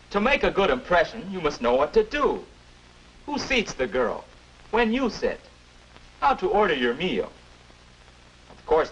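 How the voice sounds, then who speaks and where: Casting: persuasive young American mentor of twenty-one, very animated delivery, muffled 1950s educational film sound. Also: male voice, fairly high pitch. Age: young adult. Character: confident 21-year-old future self, patient persuasive advisor.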